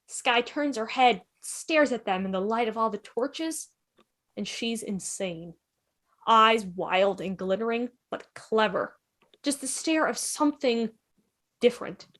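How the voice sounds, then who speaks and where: A woman's voice: a little high, a bit bright. A woman narrator reading stage directions for a drama script. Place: online video call.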